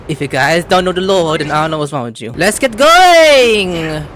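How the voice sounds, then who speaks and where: Animated gaming streamer with wildly swinging pitch. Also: normal speed, a little hiss or hum. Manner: exclamatory, swings from muttered asides to high squeals; slangy, playful, talks to the game characters. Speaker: gaming YouTuber and host. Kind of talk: excited gameplay commentary, casual chat.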